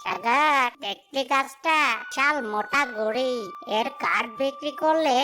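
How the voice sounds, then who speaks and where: Guy doing a pushy greedy woodcutter from a village, cartoon, high voice, music behind. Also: a little slow, sharp tone, heavily acted. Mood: greedy, brash. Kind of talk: cartoon voice acting.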